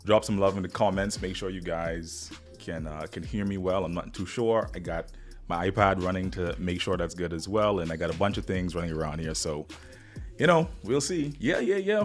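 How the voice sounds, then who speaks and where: A man's voice: sits low, animated, normal speed. A man with a Bahamian accent hosting a casual live stream, rambling and upbeat as he talks straight to viewers, still getting over the flu. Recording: music playing faintly behind.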